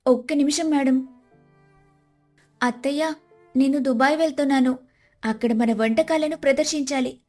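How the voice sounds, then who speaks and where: Female narrator as young daughter-in-law, devoted, respectful, fairly high pitch, storybook drama. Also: a little mellow, natural voice. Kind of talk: dramatised moral story dialogue.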